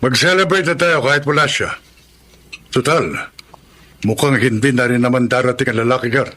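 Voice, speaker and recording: A man's voice: medium pitch, moderate pace, bright, forceful tone. An actor playing a scornful older father who belittles his son in an audio drama. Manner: belittling, contemptuous. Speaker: father celebrating his birthday.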